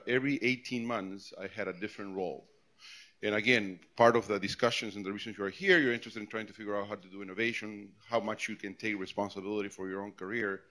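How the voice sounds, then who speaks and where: A man's voice: lowish voice, a bit bright. A man in his forties from Peru, speaking as a guest speaker, giving a conversational talk with frequent restarts and repeated words. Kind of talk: informal tech talk to employees.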